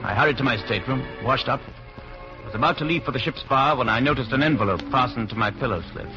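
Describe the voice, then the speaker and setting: A man's voice: medium pitch, fast, lightly acted. An actor playing a wary, tense Englishman on the run in an old-time radio suspense drama. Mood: brooding. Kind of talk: 1940s radio acting with first-person suspense narration. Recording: muffled old radio recording with music.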